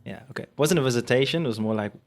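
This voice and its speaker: A South African man in his late twenties, a podcast guest answering questions in an easygoing, soft-spoken way, often laughing. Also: mid-range voice, rapid-fire. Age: late 20s. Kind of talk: casual interview chat.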